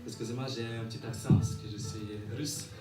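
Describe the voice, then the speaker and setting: A man's voice: mid-range voice, steady tone. A man preaching a sermon and reading scripture, repeating phrases in a rhythmic way and punctuating them with amens. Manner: halting when reading aloud, repeats and rephrases phrases; rhythmic repetition, calls for amens. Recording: echoey room, distant mic, music behind.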